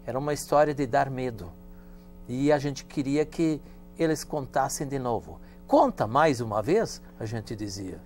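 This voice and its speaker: A man, a professor whose first language is German, telling childhood stories in a measured, storytelling way during a studio interview. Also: medium pitch, faint music behind. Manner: measured and storytelling, with lively emphasis. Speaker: guest professor.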